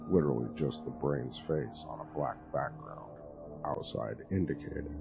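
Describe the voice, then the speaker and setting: A man with a very deep, gravelly voice reads a horror story aloud in a grave, hushed manner. Music plays clearly behind him, and the recording sounds dull and lo-fi. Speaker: narrator. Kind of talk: dramatic horror story reading. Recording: lo-fi with music underneath.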